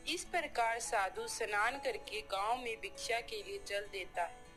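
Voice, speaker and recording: A woman's voice: medium pitch, measured pace, music in the background. A woman reading scripted narration for a children's folk tale as the storyteller.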